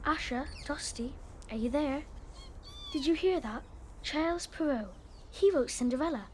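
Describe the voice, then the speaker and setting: A woman's voice: sits high, lively intonation, measured pace, a little hiss or hum. An actress playing an eager, bookish young schoolgirl in a children's audio drama. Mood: excited, secretive. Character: eager, bookish young schoolgirl who loves fairy tales.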